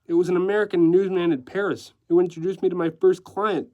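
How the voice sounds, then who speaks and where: A male narrator puts on a theatrical society decorator for an audiobook. He is gleeful and sly, with a raised pitch. He gushes and drops in French phrases with affected flair. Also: flat delivery, fast, dark tone, lo-fi sound, lightly acted. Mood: gleeful, boastful.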